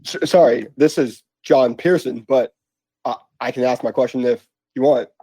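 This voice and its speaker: A man's voice: mid-range voice, moderate pace, dark tone, husky, dry recording. A man, a reporter on a press call, asking his question politely and a little hesitantly. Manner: polite, slightly hesitant, with filler uhs.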